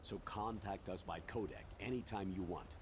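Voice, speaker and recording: An actor playing an authoritative older military commander in a video game, giving orders in a businesslike way. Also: male voice, low voice, normal speed, background noise, lightly acted.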